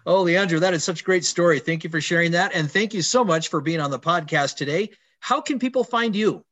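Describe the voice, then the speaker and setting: A man hosting a podcast, asking questions and warmly affirming his guest's answers. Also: high voice, a little fast. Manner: encouraging, affirming interviewer. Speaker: podcast host.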